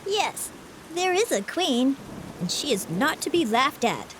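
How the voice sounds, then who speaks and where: A woman's voice: high-pitched, big pitch swings, unhurried, noisy background, voice pushed up. A woman voicing a solemn, pale little girl in a storybook reading, speaking earnestly and precisely. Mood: grave. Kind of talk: audiobook reading.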